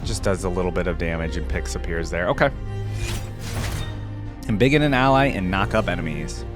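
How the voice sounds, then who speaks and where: A man's voice: low voice, fairly quick, music in the background. A man giving casual, easygoing gaming reaction commentary, informally thinking aloud as he hosts. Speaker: reaction video host.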